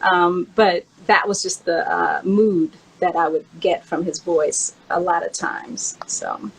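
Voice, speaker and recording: A woman co-host reminiscing in casual chat, in an informal, halting manner. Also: lowish voice, fairly even intonation, creaky, slight background noise, rough recording. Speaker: co-host, memoir author.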